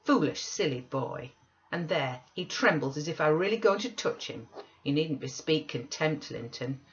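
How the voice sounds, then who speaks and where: A woman's voice: low voice, expressive, normal speed, muffled recording, slight background noise. A woman voicing a proud, impatient young lady who scolds sharply and scornfully in an audiobook reading. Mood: scornful, angry.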